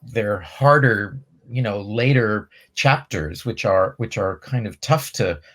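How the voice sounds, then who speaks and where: Halting man with a lowish voice, moderating. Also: a bit bright. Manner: halting, hesitant, searching for words. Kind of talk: conversational interview questions.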